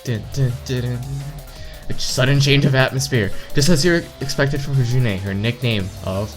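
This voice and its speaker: A man's voice: mid-range voice, moderate pace. A man reading a visual novel aloud casually, playfully stumbling over words and adding side comments. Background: visual novel background music.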